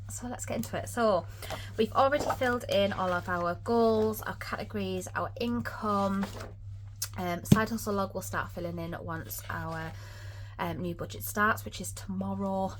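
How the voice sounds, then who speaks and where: A woman's voice: mid-range voice, a little hiss or hum, low-quality recording. A woman from England, chatting casually through a budgeting vlog with lots of ums, sniffly from a cold. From Northeast England. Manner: chatty, many ums, upbeat. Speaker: budgeting vlogger.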